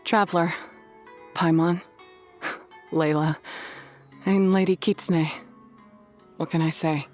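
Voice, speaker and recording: A woman playing a proud but humbled beast tamer in a video game, speaking in a measured, formal and sincere way. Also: on the low side, steady tone, darkish tone, soft background music.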